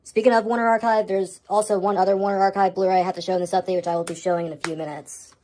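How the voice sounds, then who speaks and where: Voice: mid-range voice, flat delivery, rapid-fire, a little mellow, slight background noise. An American speaker talking casually to a handheld camera indoors at home, showing items in a vlog update. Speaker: host of a home media collection update. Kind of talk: casual show-and-tell vlog.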